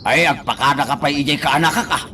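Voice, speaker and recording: A man's voice: a little high, fast, bright, forceful tone, a little hiss or hum. A man acting a bossy, scolding companion in a radio drama.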